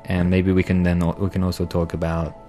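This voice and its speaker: A man hosting a radio show from the studio, asking slow, halting questions with many fillers in a casual on-air interview. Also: deep voice, monotone, dark tone. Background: faint ambient music.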